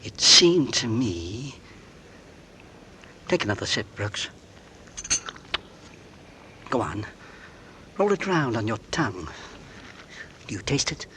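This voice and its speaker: An actor playing a married English gentleman who fears poisoning, speaking formally and deliberately in 1940s radio suspense style. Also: medium pitch, sing-song, full character voice. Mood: alarmed suspicion. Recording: noisy vintage radio drama recording.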